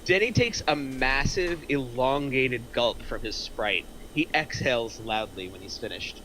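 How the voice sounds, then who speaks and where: Male narrator, scripted stage directions, mid pitch, forward bright tone, some background noise. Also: animated, a little slow, low-quality recording. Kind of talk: scripted narration at table read.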